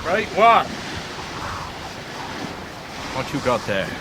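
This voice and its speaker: An actor playing a simple, proud older fisherman uncle with a gravelly, weighty rustic delivery in a radio drama. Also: medium pitch, sing-song, heavy background noise, close to an everyday voice. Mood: dread. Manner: plain rustic, slow and weighty. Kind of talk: period radio drama acting.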